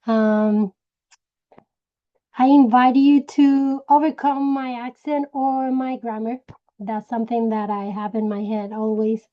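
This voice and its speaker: A woman from Puerto Rico with a Puerto Rican Spanish accent, Spanish her first language, telling her personal story slowly and searching for words. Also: a little high, fairly even intonation, lo-fi sound. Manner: slow, searching for words, many restarts. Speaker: direct sales director. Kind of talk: personal story told to a group.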